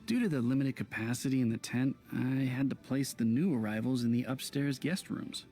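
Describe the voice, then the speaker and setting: Man as a creaky-voiced, courteous healer. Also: mid-range voice, dark tone, soft background music, lightly acted. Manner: courteous, formal, measured. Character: polite, earnest, mild-mannered male healer. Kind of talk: video game voice acting.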